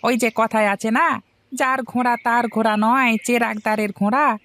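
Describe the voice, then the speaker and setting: Woman acting a high-voiced, gossipy village lad. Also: steady tone, moderate pace, fairly bright tone. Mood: insinuating. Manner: insinuating, chatty. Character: gossipy, sly young village lad, meddling friend. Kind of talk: Bengali fairy-tale cartoon dialogue.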